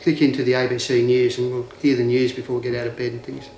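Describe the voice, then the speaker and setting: Man householder, relaxed and chatty, roomy sound. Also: medium pitch, fast talker, a little hiss or hum. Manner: easygoing, chatty. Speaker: householder interviewee. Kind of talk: casual interview answers. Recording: echoey room.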